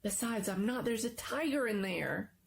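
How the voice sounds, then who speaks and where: A woman's voice: fairly high pitch, animated, normal speed, gravelly. A woman voicing a timid, weepy young schoolboy in a dramatic story reading, speaking urgently and stammering. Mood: anxious. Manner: urgent, stammering, repeating himself.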